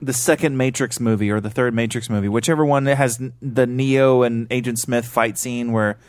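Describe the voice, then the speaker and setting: A man's voice: mid-range voice, fairly even intonation, a little fast, no room sound. Podcast co-host, man, rambling, profane, dry close sound. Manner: rambling, swears freely, restarts often. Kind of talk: casual movie review chat.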